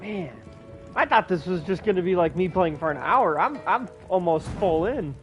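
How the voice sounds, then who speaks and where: Male video game player: casual commentary, high-pitched, very lively inflection, excitable slangy delivery. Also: normal speed, lo-fi sound, soft background music.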